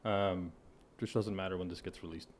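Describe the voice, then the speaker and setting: A man's voice: sits low, steady tone, moderate pace. A man in his thirties hosting a podcast from a home studio, talking in a thoughtful, rambling way with restarts. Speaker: podcast host. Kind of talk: casual interview chat. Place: home studio with hexagon wall panels. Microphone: boom-mounted studio mic with headphones.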